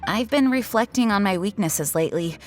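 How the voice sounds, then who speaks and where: An actress playing a dutiful, earnest young woman leader in a video game, speaking politely and formally in a measured way. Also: medium pitch, expressive, soft background music, natural voice. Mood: earnest, concerned.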